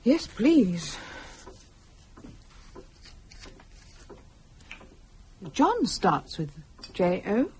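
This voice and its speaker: An actress playing an uneasy, genteel young British wife with clipped, expressive speech in a radio thriller. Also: female voice, medium pitch, slow pace, a little hiss or hum. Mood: concerned, curious. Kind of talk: radio thriller drama dialogue.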